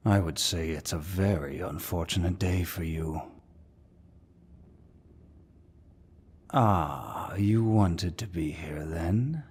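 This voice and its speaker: Casting: male actor for a suave, dominant demon villain, very deep and husky, mocking delivery for roleplay audio. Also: a little mellow, exaggerated voice. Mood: silky, intrigued. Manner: slow, mocking, mock-courteous, theatrical. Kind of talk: ASMR roleplay, scripted villain monologue.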